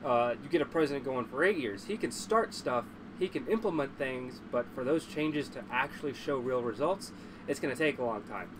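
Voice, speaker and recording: Male livestream host, average pitch, congested, casual and sweary with strong opinions; noticeably noisy recording. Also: expressive, moderate pace. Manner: blunt, profane, opinionated.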